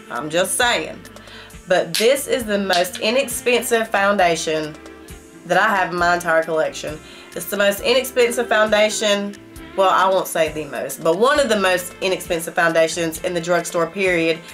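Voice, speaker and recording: A woman's voice: medium pitch, a bit bright. A middle-aged woman, a beauty vlogger, reviewing makeup to camera in a casual, emphatic way, her nose stuffed up from a cold. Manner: chatty and emphatic, talking straight to viewers. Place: small home room. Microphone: camera facing her at desk distance.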